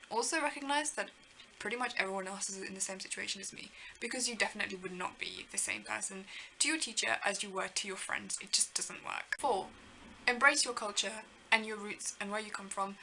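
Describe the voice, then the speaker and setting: A woman's voice: medium pitch, gravelly, bright, forceful tone, a little hiss or hum, low-quality recording. A young woman from Kenya, talking reflectively to camera in a rambling, earnest, self-deprecating way. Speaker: vlogger. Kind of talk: reflective casual talk to camera.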